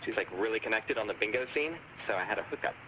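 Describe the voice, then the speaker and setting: A chatty, cheerful bargain hunter phoning in to a game's radio drama. His pitch is animated, his tone bright, with faint noise behind. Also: very fast, low-quality recording. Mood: chatty, pleased. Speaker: radio caller. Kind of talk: radio drama acting in a video game.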